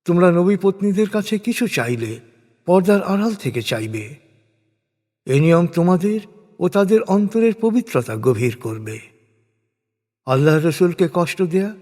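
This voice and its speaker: A man reads translated scripture as a solemn, scripted narration, in a measured and formal manner. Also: a little high, a little mellow. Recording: long hall-like reverb.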